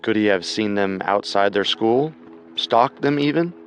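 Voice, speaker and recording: Male true-crime co-host, lowish pitch, serious and measured scripted read over faint music. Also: animated.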